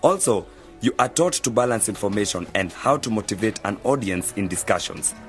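Radio drama casting: a man as calm, knowledgeable young male student mentor, lower-pitched, measured delivery, music underneath. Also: close to an everyday voice. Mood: patient, instructive. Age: young adult. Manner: explanatory, measured. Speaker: university journalism student mentoring novices. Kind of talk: scripted educational radio drama.

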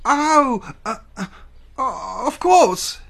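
A woman's voice: sits high, sing-song, very slow, fairly bright tone, full character voice. A woman voicing a curious, anxious young male cat in a children's story, stammering and hesitant. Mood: nervous, hesitant.

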